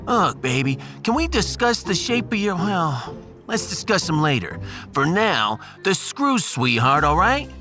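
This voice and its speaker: Gruff New working guy, very animated. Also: sits high, unhurried, full character voice. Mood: wary, coaxing. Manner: folksy, street-tough, calls people buddy. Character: gruff, wounded blue-collar New Jersey man, ship's welder. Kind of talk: sci-fi audiobook character acting. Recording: music behind the voice.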